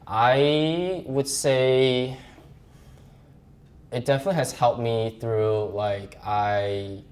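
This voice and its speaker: A young man from Malaysia, a graduate student assistant, giving earnest and halting personal interview answers. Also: mid-range voice, fairly even intonation, darkish tone. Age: young adult.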